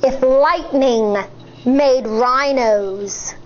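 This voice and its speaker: An American woman in her thirties, a teacher reading a picture book aloud to children in an expressive, deliberate way. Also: high voice, muffled recording, slight background noise. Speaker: elementary school teacher.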